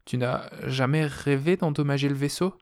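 A man's voice: mid-range voice, moderate pace, darkish tone, lightly acted. An earnest male astronaut in a science fiction audiobook, voiced by a male narrator. He is pleading and insistent, reasoning hard with his crewmate. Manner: reasoning, pressing.

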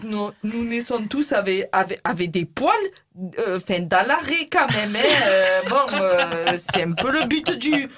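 A woman's voice: fairly high pitch, a bit bright, slight background noise. A woman playing a chatty, bawdy beautician in a mock interview, rambling with fillers and cheeky jokes. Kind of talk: comedy sketch, mock interview.

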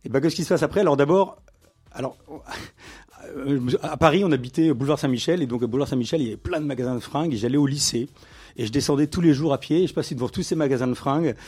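A man's voice: medium pitch, quick pace, a little mellow, husky. Man, middle-aged, interview guest, conversational, hesitant, frequent fillers. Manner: hesitant, many fillers and restarts, livens up when amused. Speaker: guest, business owner.

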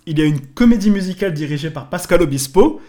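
A man with a somewhat high voice co-hosts a casual podcast. He runs through references at a brisk clip, with short agreeing replies in between. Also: animated, warm tone. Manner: brisk, says "voilà" often. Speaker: podcast co-host. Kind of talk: casual chat listing references.

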